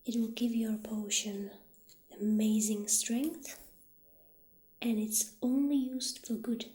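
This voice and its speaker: A woman speaking in a gentle, hushed ASMR roleplay style, partly whispering, as an obliging witch shopkeeper addressing a customer. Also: on the high side, fairly even intonation, slow. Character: soft-spoken witch shopkeeper, calm and obliging.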